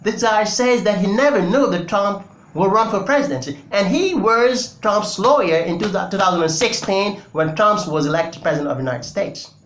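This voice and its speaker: A man's voice: high voice, animated, moderate pace, fairly bright tone, roomy sound, slight background noise. Senegalese man, emphatic political commentary. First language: Wolof. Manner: emphatic, repeats points, many fillers. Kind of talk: opinion commentary to camera.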